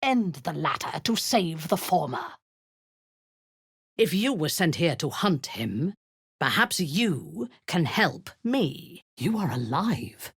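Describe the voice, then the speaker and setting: A cold and cruel drow commander, fanatical in her faith, speaking slowly with quiet menace. Her voice is low and husky, sliding into whispers, yet it rises and falls widely. The recording is a dry, close game studio take. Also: sing-song, dry recording, full character voice. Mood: contemptuous. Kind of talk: video game voice acting. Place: studio booth. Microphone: close studio mic, dry.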